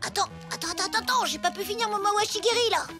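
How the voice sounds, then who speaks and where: A woman's voice: extremely high pitch, racing, music in the background. A woman voicing an earnest, gullible ten-year-old boy, the hero's best friend in a cartoon. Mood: eager. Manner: earnest, reasoning out silly theories. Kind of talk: animated cartoon voice acting.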